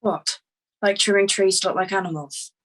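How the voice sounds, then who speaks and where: Lady doing sarcastic fed-up woman, bit raspy, swears, table read drama. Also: medium pitch, steady tone, moderate pace, fairly bright tone, close to an everyday voice. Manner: sarcastic, cutting. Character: sharp-tongued, exasperated woman, scornful and foul-mouthed. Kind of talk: screenplay table read acting.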